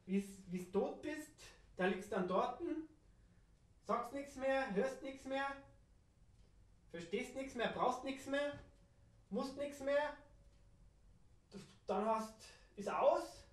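A woman's voice: high voice, lively intonation, takes it slowly, pauses often. A woman with a southern German or Austrian accent performing an improvised, absurdist spoken monologue with playful, rambling repetition. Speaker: radio play performer. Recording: roomy echo, distant microphone.

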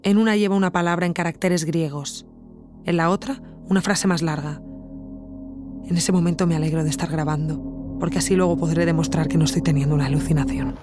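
An actress with a Spanish accent from Spain playing an earnest, reflective woman investigator who narrates her audio documentary in a measured, intimate way. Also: low voice, steady tone, over music, natural voice. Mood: reflective, absorbed. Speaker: podcast narrator and protagonist. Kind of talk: intimate scripted narration and natural dialogue in an audio drama.

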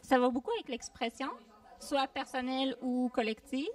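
A woman with a fairly high voice thinks aloud, with many pauses and fillers. The handheld mic sits a little away from her. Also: fairly even intonation, distant mic. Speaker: vox pop participant. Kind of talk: casual street interview. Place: community workshop space indoors.

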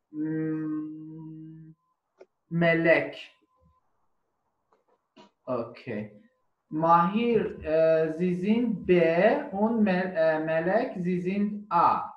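A man teaching a language online, patiently repeating and correcting words over a video call. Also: a little high. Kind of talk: slow online lesson with prompts and corrections. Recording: dull, roomy online call.

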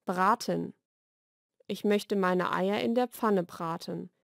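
Female language-lesson narrator, mid-pitched, measured and deliberate diction, dry studio sound. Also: gravelly, no echo. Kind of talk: scripted vocabulary narration, single words then example sentences. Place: dry studio voice-over recording.

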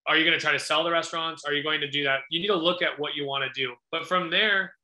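A man's voice: fairly high pitch, fast, pushed and bright. An American man in his thirties answering questions conversationally as a guest restaurateur on a video call. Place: small indoor office. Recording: echoey room on video call.

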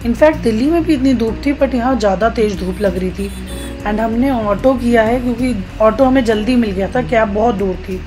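Woman, thirties, higher-pitched voice, casual vlog narration, conversational, soft background music. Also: normal speed, low-quality recording. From Uttarakhand, India. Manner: chatty, conversational, addresses viewers directly. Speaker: travel vlogger.

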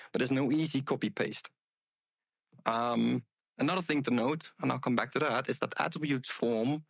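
A man's voice: medium pitch, vocal fry, no room sound. Hesitant man giving a conference talk. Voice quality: loud voice. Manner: hesitant, many ums and uhs. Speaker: conference speaker. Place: conference room with audience. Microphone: headset mic.

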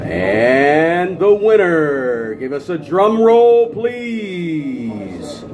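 A middle-aged American man doing live high school stadium PA announcing on a handheld microphone, with a ceremonial, drawn-out delivery of names. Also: big pitch swings. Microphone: handheld dynamic microphone. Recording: crowd noise behind outdoor announcing. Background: crowd.

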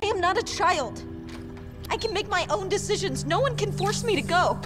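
A woman playing an upset, defiant teenage girl in a video game drama, speaking in an emotional, halting way. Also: very high voice, animated. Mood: angry defiance. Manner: emotional, halting, pleading and protesting. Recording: music under the voice.

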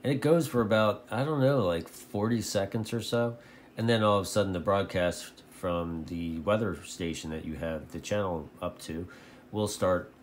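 Low-voiced man, casual and folksy. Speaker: video host, radio hobbyist. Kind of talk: casual rambling vlog talk. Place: indoor home radio shack. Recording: clearly noisy home recording.

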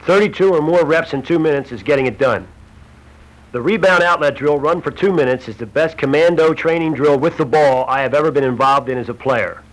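A man's voice: fairly high pitch, a little fast. A man reading scripted narration for an instructional basketball film, as a shooting instructor. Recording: noisy old Super 8 film soundtrack.

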